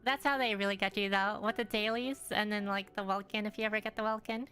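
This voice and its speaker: A woman's voice: medium pitch, fairly even intonation, quick pace, sharp tone, soft background music. A woman game streamer chatting casually and reacting aloud as she plays, chatty and given to exclamations. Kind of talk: casual chat and live reactions while gaming.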